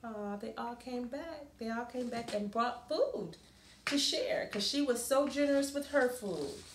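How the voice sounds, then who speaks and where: A woman with a slightly high voice reads a children's storybook aloud. She takes her time, speaking very deliberately and expressively as if to young listeners. The sound is roomy and echoing. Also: lively intonation, background noise. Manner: deliberate, explains and asks listeners questions. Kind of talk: expressive picture-book read-aloud with asides to children.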